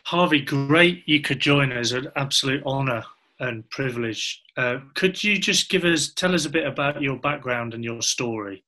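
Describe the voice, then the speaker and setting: A man asks questions in an informal interview. His voice is bright and sharp-edged, at a middling pitch for a man. The style is that of relaxed conversation. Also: normal speed.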